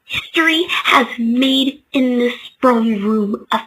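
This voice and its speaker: A woman's voice: a little high, slow, a bit bright, breathy edge, full character voice, low-quality recording. A woman voicing a hopeful young female earth pony in a fan comic dub, earnest and starry-eyed. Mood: excited.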